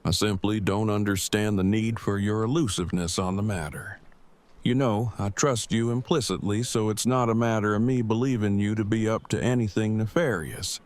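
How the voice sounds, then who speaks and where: A man's voice: lowish voice, fairly even intonation, normal speed, a little mellow, natural voice. A man playing a pedantic, earnest survivor in an audio drama, speaking in stiff, precise, formal phrases. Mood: formal, fussy.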